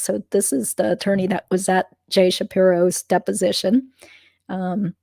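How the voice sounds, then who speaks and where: A woman hosting a legal commentary livestream, chatting casually with fillers and asides. Her voice is slightly croaky. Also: mid-range voice, mellow, no echo.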